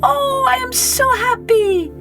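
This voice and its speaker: A woman voicing a gushing, excitable young girl in a children's storybook, full of breathless exclamations. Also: very high voice, slow, faint music behind, pitched above the performer's own voice, heavily acted, low-quality recording. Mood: overjoyed.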